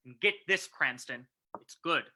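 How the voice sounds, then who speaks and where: A woman playing a gruff, sarcastic male police commissioner with a clipped, hard-boiled manner in an old-time radio play reading. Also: very deep voice, expressive, measured pace, sharp tone, slight background noise, exaggerated voice. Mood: gruff, accusing.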